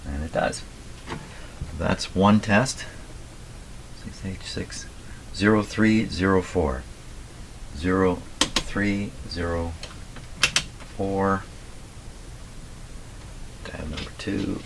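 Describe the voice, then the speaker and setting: A man with a slightly hoarse voice gives casual running commentary as he works, muttering to himself and reading things out deliberately. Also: deep voice, fairly even intonation. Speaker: radio repairer and video host. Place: radio repair workshop at a bench. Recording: clearly noisy workshop recording with clicks.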